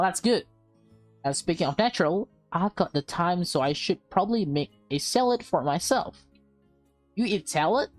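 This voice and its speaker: Woman doing a visual novel let's play, low voice, chatty, faint music behind. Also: a little mellow, no echo. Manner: chatty, addresses viewers directly. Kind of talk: reading story aloud with casual asides.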